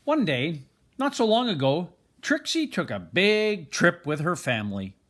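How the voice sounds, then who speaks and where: An older man reading a children's picture book aloud, expressively and deliberately, with dramatic pauses. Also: high-pitched, very animated, a bit bright. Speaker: storybook reader.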